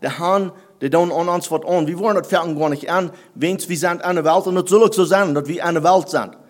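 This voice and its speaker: A man whose first language is Plattdeutsch, preaching a sermon in halting German that he mixes with English phrases. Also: high voice. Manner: halting, searching for German words, mixing in English.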